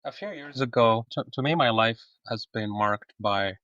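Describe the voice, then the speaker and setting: A man in his forties, originally from Egypt, talking openly as a podcast guest, relaxed with frequent fillers. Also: medium pitch, normal speed, no room sound, muffled recording. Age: 40s. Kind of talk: casual conversation.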